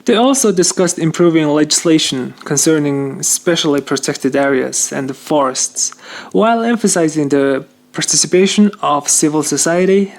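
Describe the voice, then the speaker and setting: A man's voice: a little high, gravelly. A man presenting a read news bulletin over a shortwave radio broadcast, reading carefully with some slips in phrasing.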